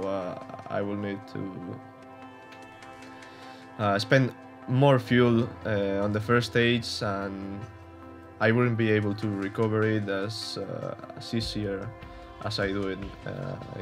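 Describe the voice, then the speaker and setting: A man's voice: on the low side, steady tone, slow, creaky, music in the background. A young man from Spain with a Spanish accent, giving casual, hesitant commentary over gameplay with many fillers and restarts. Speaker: gaming YouTuber.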